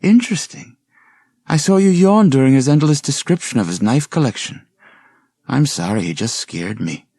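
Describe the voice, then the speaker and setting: A wary, uneasy wife in an audiobook thriller, acted by a gravelly man. The voice sits well above the reader's natural pitch, questioning and unsettled, with a dry close sound. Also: animated, normal speed, mellow, no room sound.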